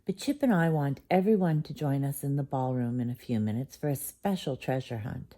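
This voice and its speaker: A woman's voice: extremely low pitch, fairly quick, mellow. A woman voicing a polite, thoughtful young heroine in a children's bedtime story. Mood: encouraging.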